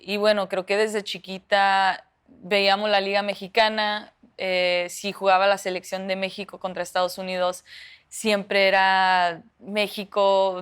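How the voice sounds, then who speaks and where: A woman's voice: mid-range voice, fairly bright tone, smooth. A young woman from the United States answering interview questions, hesitant, with many fillers and restarts. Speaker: professional footballer.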